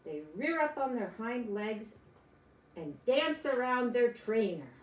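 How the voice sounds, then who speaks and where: A woman's voice: fairly high pitch, big pitch swings, slow pace, roomy sound, poor audio. An older woman, a grandmother, reading a children's storybook aloud expressively and adding chatty exclamations for young listeners. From United States.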